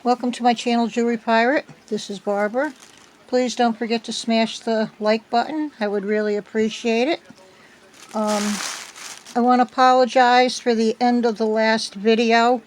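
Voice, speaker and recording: An older woman with a slightly hoarse voice from a cold, chatting at home into her phone in a meandering way, with many ums. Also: fairly high pitch, steady tone, moderate pace, a little hiss or hum, rough recording. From United States. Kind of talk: casual chat. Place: at home indoors.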